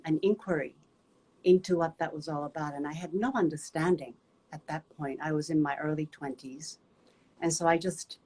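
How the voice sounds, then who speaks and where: A woman, a guest movement teacher telling a personal story in a gentle, reflective way with many pauses. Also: low voice, slightly rough, rough recording. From Japan.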